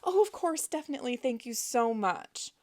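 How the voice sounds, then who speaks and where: A woman voicing a quick-witted young woman thief who talks boldly. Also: animated, a little mellow. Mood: fake panic. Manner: bold, fakes high-pitched panic.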